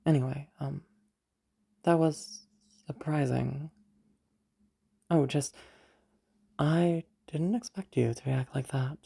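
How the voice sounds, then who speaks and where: A woman acts out a sly villainous woman in an intimate audio roleplay, her voice low and gravelly. She teases slowly, with hesitant pauses and soft hums, sounding dangerous yet tender underneath. The tone stays dark and mellow. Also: heavily acted. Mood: hesitant, restrained. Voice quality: low, husky, creaky. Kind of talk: romantic audio roleplay, intimate monologue to a listener.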